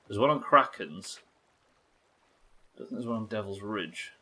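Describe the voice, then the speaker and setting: A man's voice: fairly low pitch, lively intonation, normal speed, bright, forceful tone, a little hiss or hum. A man doing casual livestream game commentary, thinking aloud, questioning himself and swearing now and then. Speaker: live game streamer.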